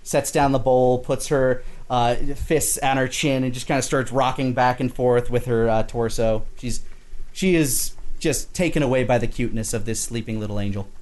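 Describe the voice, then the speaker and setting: A man acting as game master, improvising narration in a casual, halting way peppered with filler slang. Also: medium pitch, steady tone, a little mellow. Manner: casual, halting storytelling full of "like".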